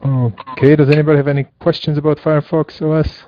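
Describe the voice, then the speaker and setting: A man hosting a community meeting, moving briskly through updates in a playful, casual way with some restarts. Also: fairly high pitch, little pitch movement, no echo, muffled recording. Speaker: meeting host.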